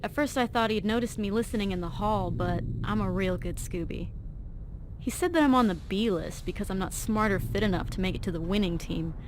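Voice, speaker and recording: With clear noise around the voice, a woman plays an anxious, driven teenage girl from a post-apocalyptic vault in a video game. She talks in a chatty, earnest, slangy way at a middle pitch. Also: a little fast, lightly acted. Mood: confiding. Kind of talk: video game mod dialogue acting.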